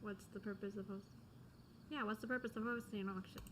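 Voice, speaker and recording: A woman's voice: mid-range voice, steady tone, brisk. A woman giving casual gameplay reaction commentary, with offhand short reactions and muttered asides. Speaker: gamer commentator. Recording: loud noise and clicks behind commentary.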